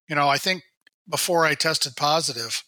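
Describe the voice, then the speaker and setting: A man in his sixties, hoarse while recovering from illness, rambling and restarting his sentences in a casual radio chat. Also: on the high side, moderate pace, bright, forceful tone, dry recording. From Minnesota. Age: 60s. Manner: rambling, self-correcting storyteller. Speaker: show regular, state high school sports guide.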